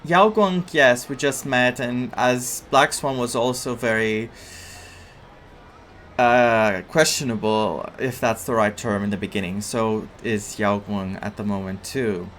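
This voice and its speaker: A man giving casual, excitable let's play commentary as a game streamer. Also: medium pitch, measured pace, a little hiss or hum. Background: game music.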